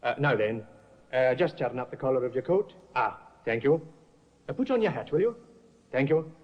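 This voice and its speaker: An actor playing a sharp, persistent defense barrister cross-examining witnesses in an old radio drama, heard through a dull restored broadcast. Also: male voice, medium pitch, lively intonation, normal speed, lightly acted. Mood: pressing. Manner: probing, pressing cross-examination. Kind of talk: 1950s radio acting.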